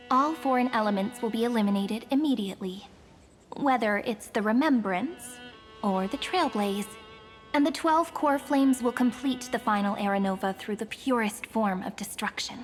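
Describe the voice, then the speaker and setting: A woman's voice: a little high, moderate pace, close to an everyday voice. A woman playing a poised, playful, knowing young woman in a video game, articulate and composed. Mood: calm, serious. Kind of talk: video game story dub acting. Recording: music behind the voice.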